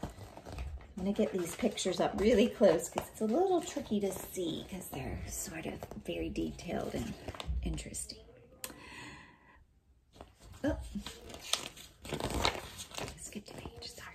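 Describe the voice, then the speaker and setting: A woman's voice: lowish voice, very animated, a little slow, vocal fry, pauses often, reverberant, background noise. A woman teacher explaining things to young students in a read-aloud lesson, with simple, explanatory phrasing.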